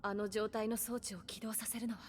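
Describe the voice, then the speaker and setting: A woman's voice: mid-range voice, little pitch movement, a little fast, slight background noise, lightly acted. A woman voicing a dutiful, level-headed attendant, formal and deferential, in an anime game, over music. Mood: calm, concerned. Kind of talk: Japanese anime game voice acting. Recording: music behind the voice.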